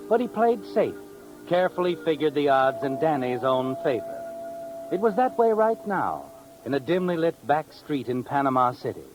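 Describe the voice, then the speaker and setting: A knowing, taunting storyteller with a slightly high, very animated voice. He narrates with sardonic suspense in 1950s radio mystery style, over music on an old recording. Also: low-quality recording. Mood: suspenseful. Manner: sardonic, suspenseful, deliberate phrasing.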